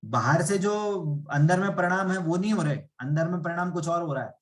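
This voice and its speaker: Teaching man with a slightly high voice. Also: brisk. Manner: explains patiently with rhetorical questions. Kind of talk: spiritual class lecture. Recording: echoey room.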